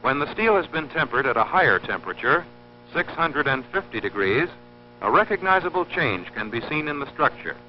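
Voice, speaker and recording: A man narrating an instructional film in a formal, scripted, measured and precise teaching manner. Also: a little high, sing-song, a little hiss or hum. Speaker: narrator of an industrial training film. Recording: dull lo-fi old film soundtrack, humming.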